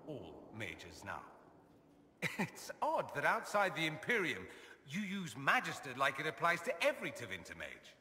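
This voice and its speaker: Game companion: a sardonic, self-amused mage noble, crisp bright voice, lively pitch, reverb tail. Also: male voice, normal speed, a little hiss or hum, exaggerated voice. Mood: wry. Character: witty, vain male mage nobleman, wry and worldly. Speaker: companion character. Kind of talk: video game dialogue.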